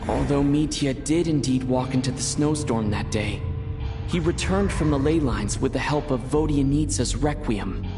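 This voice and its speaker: A man playing a young male traveler in a game, recounting a mystery in a measured, earnest, reflective way over music. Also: medium pitch, natural voice. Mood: somber, reflective. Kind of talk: scripted game narration, inner monologue.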